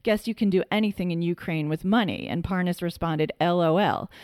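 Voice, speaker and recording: A woman co-host talks through political news in a casual, colloquial style. Her voice sits a little low, and she sounds emphatic and incredulous. The sound is close and dry. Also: brisk, a little mellow, no echo. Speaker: podcast co-host.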